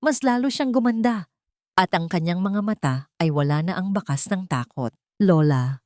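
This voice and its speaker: A woman's voice: mid-range voice, expressive, normal speed, a little mellow, no room sound, studio quality. A woman reading a scripted dramatic story as narrator, in a solemn, sentimental manner.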